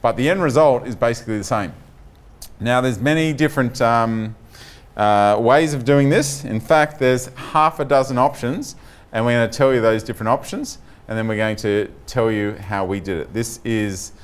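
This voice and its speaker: A man's voice: lowish voice, smooth. A man presenting a conference tech talk as chief architect, opening with energy and many ums. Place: meeting room with audience.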